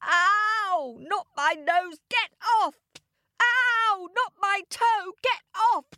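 Storybook guards voiced by a woman: comic panic, very high squealing yelps, short bursts. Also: extremely slow, bright, forceful tone, no room sound, exaggerated voice. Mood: pained panic. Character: panicked, yelping royal guards stung by bees.

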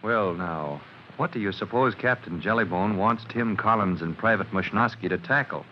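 A man's voice: fairly low pitch, normal speed, dull audio. A man with an American accent narrating an old-time radio serial in a theatrical, suspenseful way, on a noisy old recording. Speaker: announcer and narrator.

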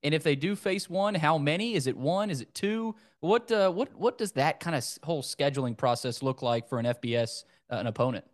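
A man's voice: a little high, lively intonation, a little fast, few pauses. A man hosting a sports podcast, talking informally and conversationally in a slightly rambling way. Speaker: podcast host.

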